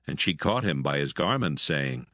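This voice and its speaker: A man narrating dramatised scripture in a solemn, measured manner. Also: very deep voice, big pitch swings, fairly bright tone, no room sound, studio quality.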